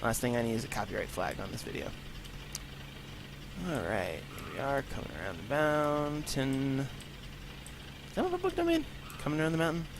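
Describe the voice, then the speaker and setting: A man's voice: medium pitch, big pitch swings, moderate pace, gravelly, pauses often, noisy background. A man hosting a gaming channel, chatting casually in let's play commentary with a rambling, self-mocking manner, a bit congested from allergies, in an unpaneled room. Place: room with no acoustic paneling.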